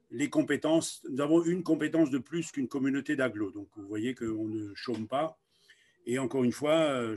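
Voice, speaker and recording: A man, a local official, presenting informally in an online meeting in a deliberate, halting way with frequent pauses. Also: medium pitch, darkish tone.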